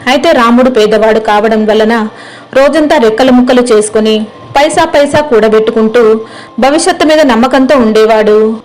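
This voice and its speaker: A woman's voice: on the high side, normal speed, a little mellow, rough recording. A woman storyteller reading scripted narration for a moral tale.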